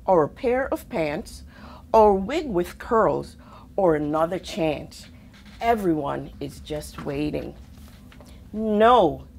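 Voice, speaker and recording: A woman reading a children's picture book aloud in a deliberate, expressive way, with pauses between phrases. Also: mid-range voice. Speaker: storybook reader, army officer.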